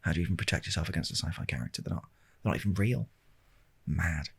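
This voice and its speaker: Low, gravelly British man rambling softly in ASMR. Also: fast talker. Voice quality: husky, gravelly. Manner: deadpan, hesitant, meandering. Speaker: video storyteller. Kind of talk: ASMR rambling comic storytelling. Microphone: close handheld-recorder style microphone.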